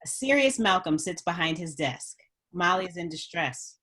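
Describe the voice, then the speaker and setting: A woman's voice: lowish voice, lively intonation, normal speed, fairly bright tone. A woman reading screenplay stage directions as a narrator at a script table read.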